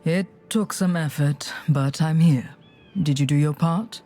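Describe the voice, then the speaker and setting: A determined hero in a fantasy game. She is matter-of-fact, with a dry, defiant edge. Music plays clearly behind her. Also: sits low, measured pace, warm tone. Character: resolute, dry-witted hero, outcast relic bearer. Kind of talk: video game dialogue acting.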